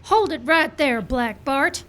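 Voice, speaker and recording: High-voiced earnest ranch heroine played by a woman. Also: expressive, slow pace, fairly bright tone, full character voice. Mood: earnest, urgent. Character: earnest, level-headed Old West ranch heroine. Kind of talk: comic live radio western acting.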